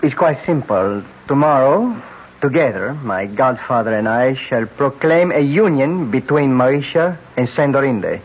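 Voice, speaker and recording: An actor playing a weary, compliant young president-to-be with a measured, formal manner in a radio drama. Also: male voice, medium pitch, animated, breathy edge. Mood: composed. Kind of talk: old-time radio drama acting. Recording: dull, hissy old radio broadcast.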